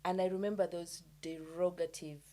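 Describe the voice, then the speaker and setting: This is a woman from Uganda sharing her thoughts on a podcast. Her voice sits slightly low, and she speaks reflectively, halting often with fillers as she gathers her words. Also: steady tone, mellow. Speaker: podcast co-host. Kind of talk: casual chat.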